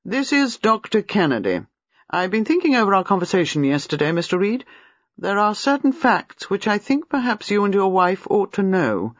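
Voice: mid-range voice, big pitch swings, moderate pace, no room sound, voice pushed up. A narrator as a guarded old doctor. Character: older doctor, guarded and reserved. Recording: dull lo-fi dry recording.

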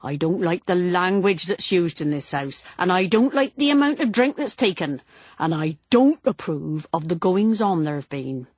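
A woman's voice: fairly low pitch, big pitch swings, moderate pace, a little mellow, husky, dry recording. A woman voicing a grim, disapproving old spinster housemaid in a storybook reading. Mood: sour indignation. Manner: indignant, disapproving, venomous.

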